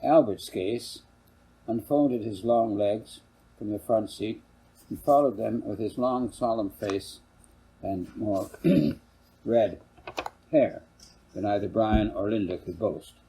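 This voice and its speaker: An older man narrates an audiobook in a low voice with a very dark, soft tone. The delivery is scripted storytelling, roughened by a gravelly husk. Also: takes it slowly, long pauses, rough recording. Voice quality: gravelly, husky. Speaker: author reading own novel.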